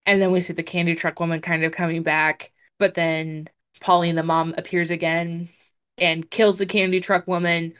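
A young adult woman with a deep voice for a woman, co-hosting a podcast in chatty casual talk full of fillers. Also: level tone, moderate pace, a bit bright, smooth. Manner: chatty, hedging with many fillers. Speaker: podcast co-host. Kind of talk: casual chat.

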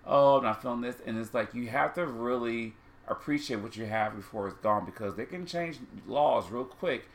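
A man's voice: mid-range voice, normal speed. A man of about forty co-hosting a candid chat, rambling and losing his thread. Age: 40s. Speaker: podcast co-host.

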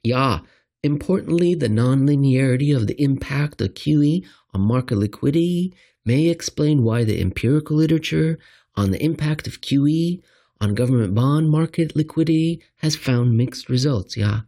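A man voicing a halting academic author reading out research findings, punctuated with musing yeahs and hums. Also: medium pitch, expressive, warm tone, voice pushed up.

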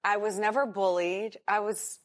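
An American woman giving an interview as a guest, talking candidly about her past. She pauses often and restarts her sentences with fillers. Her voice sits at an ordinary middle pitch. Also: fairly even intonation. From United States. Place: hotel in Singapore.

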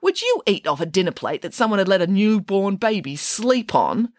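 A woman voicing a bossy, sharp-tongued, glamorous female pig from a storybook, scolding in a no-nonsense way. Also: deep voice, lively intonation, a little fast, dry recording, clean studio sound. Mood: indignant.

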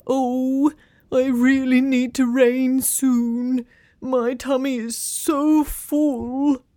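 A woman voicing a shy, polite young male rain cloud with a bellyache, who pleads and apologizes in drawn-out words. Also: sits high, flat delivery, dark tone, dry recording, clean studio sound, voice pushed up. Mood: polite, anxious. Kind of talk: storybook character voice.

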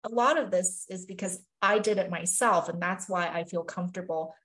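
A woman's voice: fairly low pitch, steady tone, normal speed, a bit bright, reverberant. A woman from Taiwan, a physician and life coach, talking earnestly and thoughtfully as a podcast guest, often saying "you know" and "really". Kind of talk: reflective podcast conversation.

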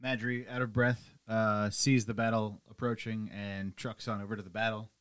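A man's voice: lowish voice, flat delivery, moderate pace, a bit bright, clean tone. A man playing a tabletop game, casually describing what his character does in the third person.

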